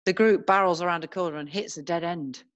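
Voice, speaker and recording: A woman's voice: lowish voice, fast talker, muffled recording. A woman narrator reading scene descriptions as scripted screenplay narration.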